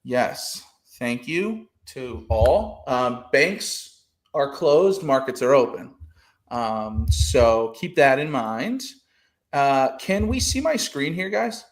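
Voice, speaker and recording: A man's voice: medium pitch, sing-song, slow pace. A man hosting a casual trading podcast over a video call, rambling with lots of fillers and restarts. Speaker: podcast host.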